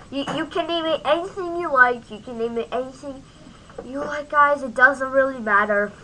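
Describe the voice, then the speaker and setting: Casting: female tutorial host, bright forceful tone, informal and halting, home recording with faint noise and rumble. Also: poor audio. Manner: informal, halting, self-interrupting, talks to self. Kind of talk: casual screen-recorded software tutorial. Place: at home, at a computer.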